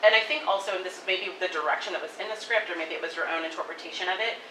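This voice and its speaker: A woman giving spoken feedback at a live table reading, talking in a chatty, animated way full of "like". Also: on the low side. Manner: animated, fast, many "like" fillers, laughing. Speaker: audience member giving feedback. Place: coffee shop event room. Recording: echoey room, far from the mic, faint noise.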